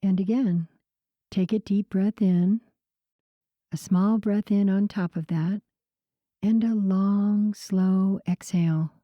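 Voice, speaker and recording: A woman guiding a sleep meditation in a soothing, unhurried way, with a soft voice that turns breathy at the ends of phrases. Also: medium pitch, steady tone, dry recording, muffled recording. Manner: soothing, unhurried, gentle pauses. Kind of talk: slow guided sleep meditation narration.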